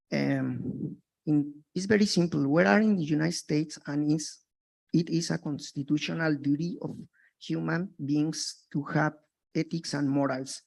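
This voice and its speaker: Gravelly Mexican-accented man, halting English. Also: on the high side, darkish tone, low-quality recording. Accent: Mexican Spanish. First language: Spanish. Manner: halting, earnest, broken English. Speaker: public commenter. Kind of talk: public comment at a board meeting.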